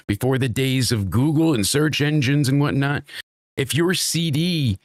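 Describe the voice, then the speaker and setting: A man with a stuffed-up, worn voice talks at an ordinary middle pitch, circling his points and restarting sentences as he argues. The sound is dry and close, with no room around it. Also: dry recording. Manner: rambling, opinionated, frequent restarts. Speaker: wrestling review show host. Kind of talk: casual podcast chat.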